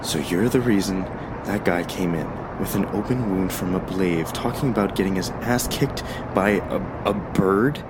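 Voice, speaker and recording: A man playing a suspicious, exasperated police officer in an audio drama, incredulous and scolding in a halting way. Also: low-pitched, very noisy, close to an everyday voice. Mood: sarcastic, scolding.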